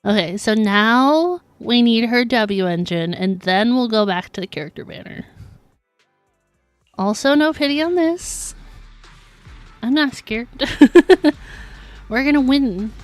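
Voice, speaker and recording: A woman with a fairly high voice hosts a casual game livestream. She talks at a slightly unhurried pace, chatty and informal with a giggly manner. The sound is close and dry, with faint music present. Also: no echo. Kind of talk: casual live gaming commentary.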